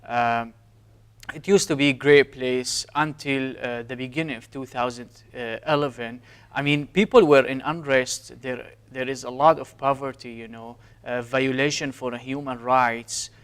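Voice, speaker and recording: Man in his 30s, Syrian accent, earnest and hesitant, smooth resonant tone. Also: mid-range voice, fairly even intonation, measured pace. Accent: Syrian Arabic. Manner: earnest, hesitant with frequent fillers. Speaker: guest, physician. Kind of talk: interview answers.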